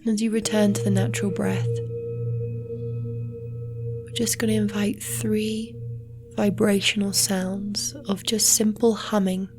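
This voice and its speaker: A woman guiding a relaxation meditation in a soft, breathy, near-whispered voice, slowly and soothingly with long pauses. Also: medium pitch. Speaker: yoga nidra meditation guide. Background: meditation music with singing bowls.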